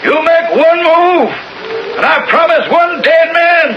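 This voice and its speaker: Very high male voice, cruel blustering rancher villain, folksy orders, radio Western, noisy vintage sound. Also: takes it slowly. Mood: menacing. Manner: folksy, barking commands. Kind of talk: radio Western drama acting. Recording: dull, noisy old radio recording.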